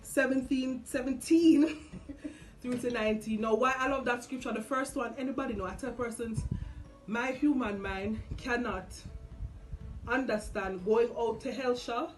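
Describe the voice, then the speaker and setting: A young woman with a Jamaican accent and a slightly high voice talks casually about faith. She moves expressively between English and Patois as she retells scripture. Light music plays behind her. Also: poor audio. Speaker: vlog host. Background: background music.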